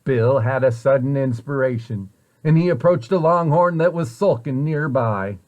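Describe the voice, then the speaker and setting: A man reading a children's story aloud in an expressive way, with deliberate, dramatic emphasis. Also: medium pitch. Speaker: storybook reader, site director at a children's center.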